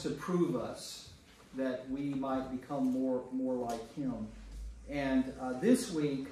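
An American man with a slightly high voice leads an informal Bible lesson, talking conversationally and putting questions to his listeners. He is recorded from far off in a heavily echoing room, with faint noise behind him. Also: a little mellow. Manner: conversational, puts questions to listeners, checking in with "right?". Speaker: priest leading a Bible study. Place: indoor church meeting room with a group. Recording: echoey room from far off, noisy.

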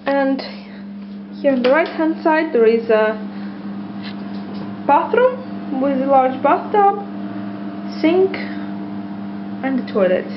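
High-voiced woman giving a slow apartment tour. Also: lively intonation, dull audio, noisy background. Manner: hesitant, many ums, enthusiastic descriptions. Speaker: property tour presenter. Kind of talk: walkthrough tour narration. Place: small loft studio apartment, indoors. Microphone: camera mic, handheld camera.

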